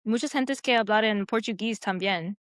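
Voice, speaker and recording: A woman whose first language is Hindi, a podcast guest speaking learner Spanish haltingly, with many "am" fillers and stray English words. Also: mid-range voice, dry recording, clean studio sound. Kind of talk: casual conversation in learner Spanish.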